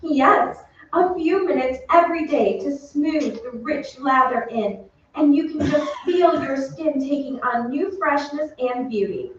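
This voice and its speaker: An actress playing a chatty, gushing Hollywood beauty reporter in a 1940s-style radio commercial on stage. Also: female voice, high voice, a little slow. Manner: bright-worded, gossipy, scripted banter. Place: theatre stage, away from the mic. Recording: very echoey stage, distant, dull, faint noise.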